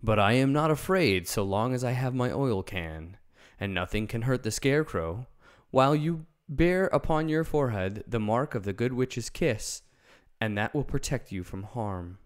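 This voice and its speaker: A man doing a calm tin man. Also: medium pitch, normal speed, clean tone, close to an everyday voice.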